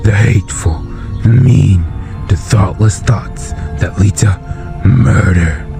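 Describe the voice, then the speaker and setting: Man acting low-voiced sinister radio horror host. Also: steady tone, slow, gravelly, heavily acted. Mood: ominous. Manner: theatrical, haughty, absent-minded. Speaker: introducer of a radio drama. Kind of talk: old-time radio horror introduction. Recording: music under the voice.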